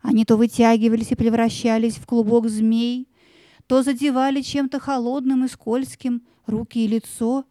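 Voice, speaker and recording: A woman's voice: on the high side, little pitch movement, normal speed, mellow, dry recording. A woman narrating a fairy tale in a scripted storytelling style.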